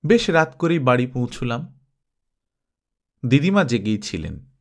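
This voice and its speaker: A man reading a story aloud as an expressive scripted narration. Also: medium pitch, measured pace.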